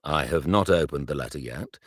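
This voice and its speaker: A man doing a stiff, reserved young gentleman. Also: a bass voice, fairly quick, slightly rough, dry recording, clean studio sound, full character voice. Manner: clipped, formal, reserved.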